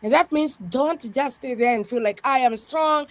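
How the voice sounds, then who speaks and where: Woman, pastor, strong voice, higher pitch, earnest, dry sound. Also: moderate pace, no echo. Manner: earnest, repeats "yeah" often. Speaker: pastor, guest. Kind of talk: podcast conversation, reflective.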